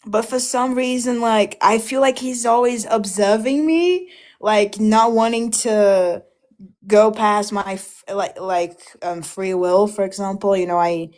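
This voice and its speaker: A woman's voice: medium pitch, unhurried, a little mellow. A woman from Brazil with a Brazilian Portuguese accent, calling in to a live stream and hesitantly searching for her words.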